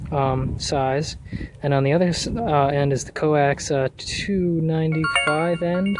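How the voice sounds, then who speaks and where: A man giving a casual, hands-on do-it-yourself tutorial in a halting, thinking-aloud way. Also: on the high side. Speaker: DIY car project vlogger. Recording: noisy recording with clicks.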